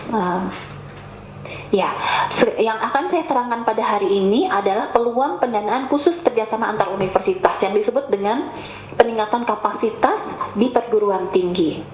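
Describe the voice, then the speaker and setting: A woman's voice: on the high side, moderate pace. A woman with a cough presents patiently over an online call, explaining things step by step and addressing her audience politely. Her voice comes through thin and phone-like, with a roomy echo and faint hiss behind it. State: has a cough. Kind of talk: webinar presentation. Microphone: remote call mic. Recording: tinny, echoey online call audio.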